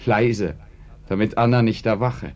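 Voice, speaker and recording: An actor narrating a radio play in a dry, ironic, measured way, addressing the listeners directly. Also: male voice, lowish voice, darkish tone, close to an everyday voice. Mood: dryly ironic. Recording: dull, muffled radio recording.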